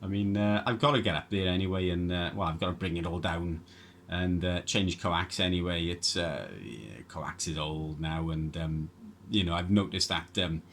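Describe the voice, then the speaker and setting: Male radio ham, lowish voice, rambling casual delivery, clear noise in the recording. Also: normal speed, a bit bright. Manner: hesitant, rambling. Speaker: amateur radio operator. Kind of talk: casual two-way radio chat.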